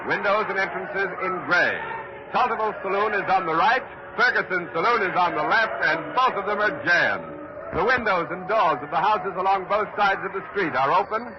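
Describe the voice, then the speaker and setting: A man's voice: high voice, close to an everyday voice. An American man acting as an eager, polite news reporter giving formal live commentary in a 1940s radio drama. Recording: muffled, very noisy 1940s radio recording.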